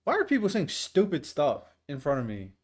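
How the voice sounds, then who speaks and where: A man's voice: on the high side, wildly swooping pitch, a little fast, slightly rough. A man hosting a reaction video, ranting bluntly and dismissively in casual, profane commentary. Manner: blunt, dismissive, swears, says "bro" a lot.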